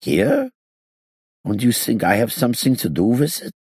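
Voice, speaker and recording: A man's voice: medium pitch, wildly swooping pitch, normal speed, a little mellow, husky, no echo, clean studio sound, voice pushed up. A man voicing a surly, agitated gangster in an audiobook, irritable and protesting. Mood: indignant. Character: Hincus, surly, agitated gangster just freed from ropes.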